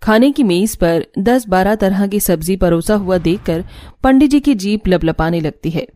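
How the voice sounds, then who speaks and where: A woman's voice: mid-range voice, expressive, moderate pace, warm tone. A woman narrator reading a scripted family cartoon story. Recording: music bed under the voice.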